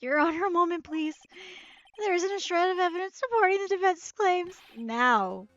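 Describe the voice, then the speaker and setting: A woman's voice: very high voice, lively intonation, moderate pace, fairly bright tone, no room sound, voice pushed up. A woman voicing a smug male prosecutor with a dismissive manner. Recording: slightly muffled with game music.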